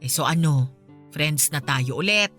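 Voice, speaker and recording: Apologetic filipino mom in radio drama, kinda low voice, chatty, music behind. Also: female voice, expressive, a little slow, fairly bright tone. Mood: conciliatory. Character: apologetic, impulsive middle-aged mother and friend.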